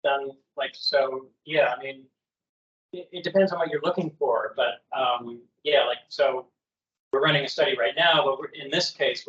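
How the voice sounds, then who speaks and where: A male professor answering audience questions in a relaxed academic discussion, hesitant and full of fillers. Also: medium pitch. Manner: hesitant, searching, many fillers. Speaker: presenting professor. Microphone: video call. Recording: dull lo-fi video call audio.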